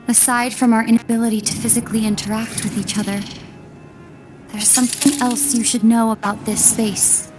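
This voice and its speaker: A woman playing a solemn, weary young princess and long-lost sister in a video game, softly and with measured delivery. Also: fairly high pitch, natural voice. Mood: grave. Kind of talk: dramatic video game acting.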